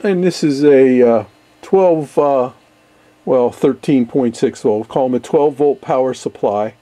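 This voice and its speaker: A man's voice: medium pitch, a little slow, dark tone. An older man giving a casual, easygoing show-and-tell in his workshop, talking in a rambling, hesitant way. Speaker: ham radio hobbyist, video host. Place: cluttered indoor basement workshop.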